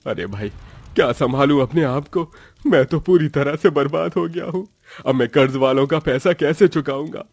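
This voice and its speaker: A man's voice: on the high side, normal speed, a little mellow, no room sound, studio quality. A man voicing a poor, despairing farmer in a village story, lamenting as one who is ruined. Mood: despairing, anxious. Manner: wailing, lamenting.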